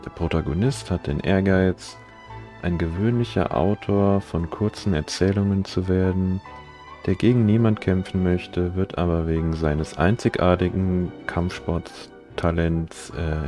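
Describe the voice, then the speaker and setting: A man with a low, dark and relaxed voice reads on-screen game text aloud for a livestream. He sounds offhand, with faint game music in the background. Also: a little slow. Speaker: game streamer.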